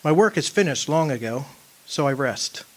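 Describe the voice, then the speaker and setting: A man playing a wistful old soul in a radio drama, remembering his lost love in measured, poetic, solemn narration. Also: mid-range voice, expressive, lightly acted. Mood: quiet, reflective. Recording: music behind the voice.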